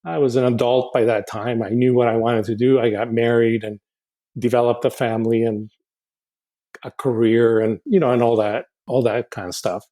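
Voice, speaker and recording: A man in his sixties from Honduras, a podcast guest who speaks in a halting, reflective way, often restarting and repeating words. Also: mid-range voice, steady tone, mellow. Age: 60s. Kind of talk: casual podcast interview.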